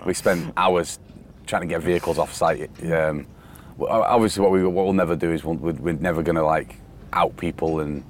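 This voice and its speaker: A man co-hosting a podcast in casual chat, hoarse from lost voice, talking in a rambling, halting way with many fillers, outdoors on a site. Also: low voice, fairly even intonation, a little hiss or hum. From United Kingdom. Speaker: podcast co-host. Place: outdoors on a festival site during teardown.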